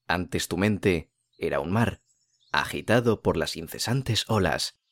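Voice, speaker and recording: A man with a low voice leads a guided meditation in a soothing, unhurried manner. He leaves long pauses between phrases. The sound is close and dry, with no room around it. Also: lively intonation, no room sound. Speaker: podcast host and meditation guide.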